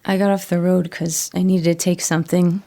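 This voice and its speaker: A woman's voice: lowish voice, flat delivery, brisk, creaky, mellow. An actress playing a troubled, remorseful woman who confesses in a plain, earnest way in a horror audio drama. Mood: frightened confession. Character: troubled, remorseful woman struggling with addiction, pleading to be believed. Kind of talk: first-person horror audio drama monologue.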